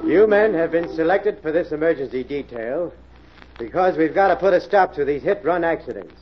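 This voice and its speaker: An actor with an American accent playing a stern, authoritative police inspector in a 1940s radio drama. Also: male voice, a little high, expressive, faint music behind, close to an everyday voice. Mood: stern, commanding. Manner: brisk, authoritative, declamatory. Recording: muffled old radio recording.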